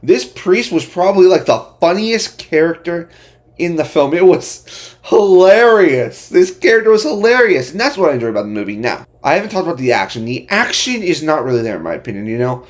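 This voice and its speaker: A man giving a casual, unscripted movie review as an amateur host, speaking in a halting, repetitive way with many verbal tics and emphatic opinions. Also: on the high side, sing-song.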